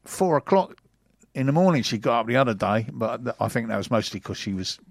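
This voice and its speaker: A middle-aged man hosts a radio show in a casual, rambling way, full of fillers and restarts. His voice sits at a middle pitch and sounds close and dry, with no room around it. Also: animated, brisk, creaky, dry recording. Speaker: radio host. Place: radio studio.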